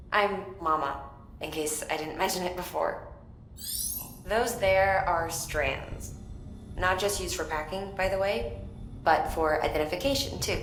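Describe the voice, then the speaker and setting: An actress playing a confident engineer in a video game, talking in an informal, matter-of-fact way. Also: female voice, low voice, fairly bright tone. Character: casual, confident female engineer. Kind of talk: video game cinematic acting. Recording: echoey room, faint noise.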